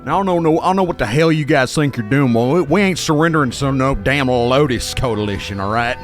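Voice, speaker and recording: A man's voice: medium pitch, lively intonation, fairly quick, soft background music, lightly acted. A man voicing a weary, stubborn old village leader in a blustering, hesitant, plain-spoken way for an improvised radio-style play. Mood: defiant.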